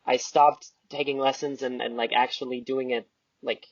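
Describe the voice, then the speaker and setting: A young man chatting casually as a podcast guest, halting and self-deprecating in the way he talks. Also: medium pitch, little pitch movement, no echo, muffled recording. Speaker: podcast guest, amateur theater group member.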